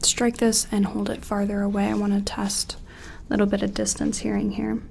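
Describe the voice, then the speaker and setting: A woman playing a gentle, curious sci-fi examiner in an ASMR role-play, soft-spoken and slipping in and out of a soothing whisper. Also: medium pitch, monotone, brisk, gravelly, slight background noise, close to an everyday voice.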